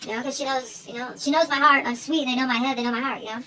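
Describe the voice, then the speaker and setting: Fast, high-voiced rambling American woman. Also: steady tone, pushed and bright. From United States. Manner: rambling, informal, jumps between asides, swears casually. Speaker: online video creator staging a phone call. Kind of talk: rambling one-sided phone chat to camera.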